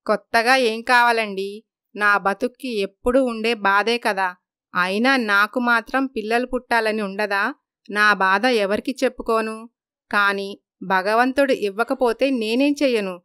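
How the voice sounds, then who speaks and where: A woman's voice: fairly high pitch, steady tone, measured pace, pushed and bright, clear, resonant tone, dry recording, studio quality. A woman voicing a hurt, tearful young daughter-in-law in a moral story. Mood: anguished.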